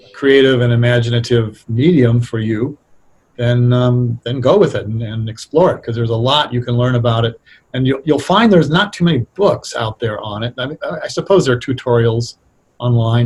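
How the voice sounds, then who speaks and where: A man's voice: medium pitch, darkish tone, slightly rough. A middle-aged or older man talking casually as an interview guest, explaining things in a reflective, hesitant way. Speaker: guest, radio drama writer.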